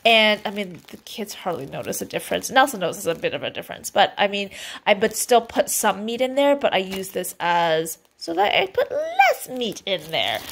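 A woman in her thirties from Canada talks through her grocery finds at the kitchen counter, upbeat and chatty with frequent fillers. Her voice sits a little high, and the camera is close to her as she speaks. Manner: chatty and upbeat, lots of "um" and "I mean". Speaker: vlogger showing a grocery haul. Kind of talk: casual chat. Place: home kitchen, indoors.

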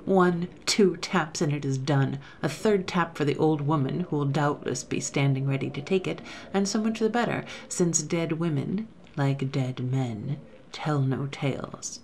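A woman reading the part of a cold, sneering male robber who plots a murder in a soft, contemptuous voice. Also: low-pitched, expressive, normal speed, a little hiss or hum.

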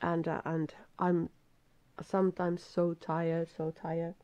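A middle-aged woman with a Finnish accent, very tired, reading a text aloud in halting English with stumbles and restarts. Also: fairly low pitch, little pitch movement, warm tone, dry recording. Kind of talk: casual self-recorded reading practice. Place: small room at a desk.

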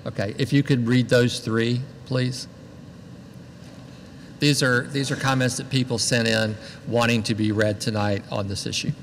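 A man chairing a village board meeting, speaking in a loud, raised voice so the room can hear. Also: mid-range voice, level tone, measured pace. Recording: reverberant hall, faint background noise.

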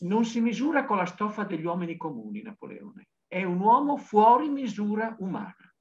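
A man's voice: high voice, big pitch swings, moderate pace, a little mellow. An older man gives an impassioned interview reflection in a declamatory, emphatic way. His intonation swings widely and animatedly. The sound is dull and lo-fi, as from a video call. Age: older, 60s to 70s. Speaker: novelist guest. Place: book-lined study indoors, on a video call. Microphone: computer video-call microphone.

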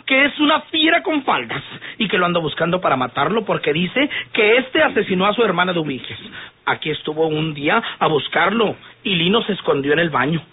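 An actor playing a fretful, excitable man in an old radio soap, gushing and agitated. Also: sits high, breathy edge. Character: fretful, excitable man sheltering a wounded friend. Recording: tinny band-limited old radio drama.

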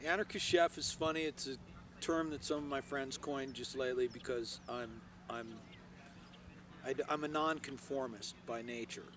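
A man's voice: mid-range voice, steady tone, normal speed, fairly bright tone, a little hiss or hum. A middle-aged man from Hawaii with an American accent, presenting casually as a chef to camera. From Hawaii, United States. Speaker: chef and restaurant owner. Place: outdoors, open yard and covered grill deck. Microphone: lapel microphone.

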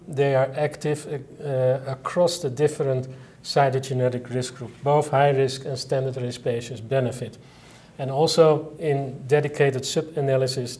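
A middle-aged man with a Dutch accent, speaking as a medical expert in a panel interview with measured, hesitant pauses. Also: mid-range voice, darkish tone. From Netherlands. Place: indoor conference interview set.

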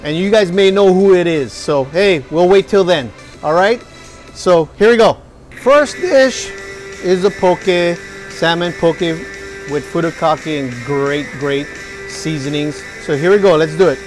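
A chef in his forties talks viewers through his recipes in a restaurant kitchen. His voice sits high, and he keeps an upbeat, hyped-up tone. Faint music plays underneath. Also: a little slow, rough recording. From Hawaii. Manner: upbeat, hypes the food to viewers. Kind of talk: cooking demonstration.

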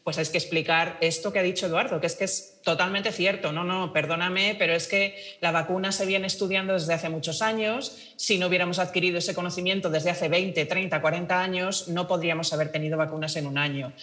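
Woman, researcher, video call, office, steady explanation. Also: fairly low pitch, a little fast, hardly any pauses. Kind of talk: remote panel contribution. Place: office with shelves.

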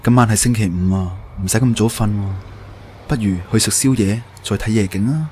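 A man's voice: lowish voice, brisk, a little mellow, slight background noise, lightly acted. A man acting a devoted, earnest and unsure young man in love in a radio drama, with a Hong Kong Cantonese accent. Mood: concerned, caring. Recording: roomy echo, music bed.

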